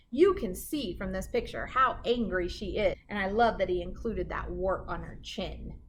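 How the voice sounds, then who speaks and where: A woman's voice: medium pitch, a bit bright, a little hiss or hum. A woman reading a children's storybook aloud like a teacher, with dramatic emphasis and chatty asides to her listeners.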